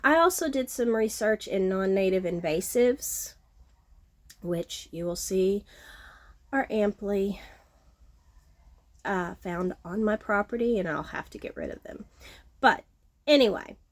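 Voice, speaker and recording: A middle-aged woman with a nasal, sniffly voice, chatting casually on her home vlog and filling pauses with ums. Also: mid-range voice, animated. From Texas Gulf Coast. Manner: frequent ums, self-deprecating, chatty. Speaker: vlogger, horticulturist. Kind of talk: casual talking-to-camera vlog. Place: outdoors at home, front porch.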